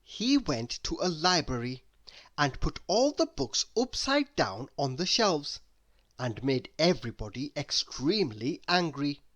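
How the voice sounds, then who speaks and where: A man reading a children's story aloud in an expressive, deliberate way. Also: fairly high pitch. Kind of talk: expressive scripted narration for children.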